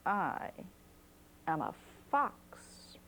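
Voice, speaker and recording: A woman's voice: medium pitch, huge pitch swings, slow pace, a little hiss or hum, heavily acted. Woman doing a vain, sly male fox.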